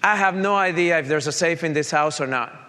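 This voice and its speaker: A man with a Colombian accent and a slight Italian touch speaks earnestly to an audience. He tells a personal story in a fairly high voice. The sound carries a long hall reverb. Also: a little fast, bright, forceful tone. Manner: earnest, direct, addresses audience. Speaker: motivational speaker. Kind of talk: motivational talk with personal story.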